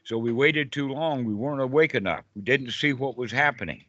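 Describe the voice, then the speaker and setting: An older man speaks as a meditation teacher in an informal group talk. His voice is bright and forceful, and his manner is jovial and colloquial, often checking in with a short question. The sound is close and dry but a bit dull, like online call audio. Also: medium pitch, fairly quick, no echo.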